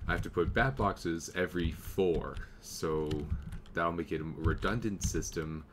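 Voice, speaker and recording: A young man with a slight cold, casually commenting on his game as he plays and thinking aloud, now and then clearing his throat. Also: low voice, unhurried, noisy background. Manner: easygoing, thinking aloud while playing. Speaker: gameplay commentator. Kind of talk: casual let's play commentary.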